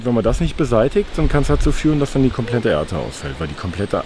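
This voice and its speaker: A man's voice: medium pitch, sing-song, quick pace, darkish tone. A man from western Germany casually explaining things as an interviewee on a farm.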